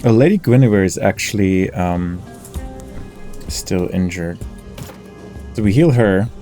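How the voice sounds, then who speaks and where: Male let's play host: relaxed, dark tone, casual musing delivery, faint music behind. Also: low-pitched, unhurried. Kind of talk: casual game commentary, thinking aloud. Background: game music.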